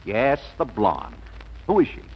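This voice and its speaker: A man's voice: mid-range voice, huge pitch swings, measured pace, creaky, husky, lo-fi sound, full character voice. An actor playing a breezy, self-assured businessman giddy with infatuation in a 1940s radio comedy, on an old broadcast recording. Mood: insistent. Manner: exuberant, distracted, hums to himself.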